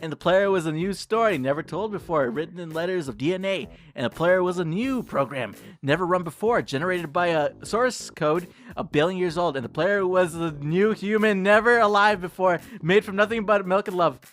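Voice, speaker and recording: A man's voice: sits high, normal speed, few pauses, fairly bright tone. A man voicing an otherworldly being of the universe, narrating to a player in a theatrical, solemn read-along style over music. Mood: storytelling. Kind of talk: dramatic read-along of a scripted dialogue. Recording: music behind the voice.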